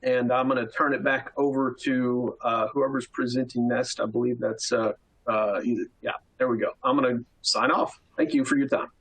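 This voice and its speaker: A man finishing a vendor pitch at a virtual event, with loose, filler-filled speech. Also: steady tone, moderate pace, a bit bright, husky, rough recording. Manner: loose, filler-heavy, repeats words. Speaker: vendor presenter. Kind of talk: closing remarks at virtual event.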